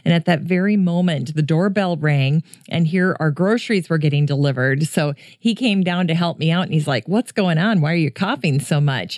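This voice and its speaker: A woman with a very low voice, deeper than usual after coughing, hosts a gardening podcast. She talks to listeners in a chatty, friendly way. It is recorded close to the mic in a dry, dead room. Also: darkish tone, dry recording. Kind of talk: casual solo podcast talk.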